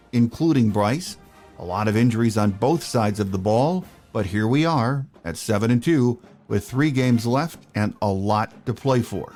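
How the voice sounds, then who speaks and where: A man hosting a sports podcast, reading a steady, measured script. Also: mid-range voice. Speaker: podcast host.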